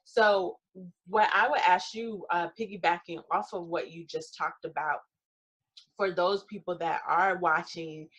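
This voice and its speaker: Show host, woman, deep voice, casual and affirming. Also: fairly even intonation, measured pace, a bit bright, husky, rough recording. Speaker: podcast host. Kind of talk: casual interview chat.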